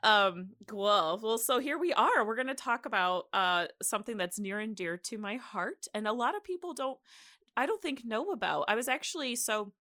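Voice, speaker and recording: Bright-voiced woman chatting as podcast host. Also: fairly high pitch, moderate pace, dry recording, studio quality. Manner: animated, giggly, conversational. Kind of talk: casual chatty podcast talk.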